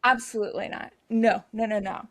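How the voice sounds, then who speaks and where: A woman co-hosting a comedy podcast, talking in a chatty, sarcastic way with quick asides. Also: mid-range voice, steady tone, slight background noise. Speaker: podcast co-host. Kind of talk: comedic casual chat.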